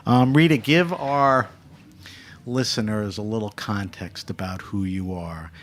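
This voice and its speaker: A man hosting a wine interview show, brisk and friendly as he prompts his guest. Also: fairly low pitch, a little hiss or hum. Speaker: wine podcast host. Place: radio studio.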